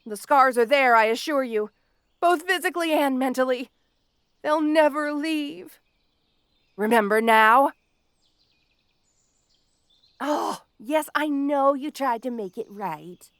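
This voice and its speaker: A woman playing a petty, obsessive supervillain in an audio roleplay, gloating haughtily and speaking straight to the listener with theatrical pauses. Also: high-pitched, a bit bright, no echo, clean studio sound, exaggerated voice. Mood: bitter, grandiose. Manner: gloating, haughty, dramatic pauses and sudden swoops. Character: petty, obsessive, melodramatic female supervillain with a grudge.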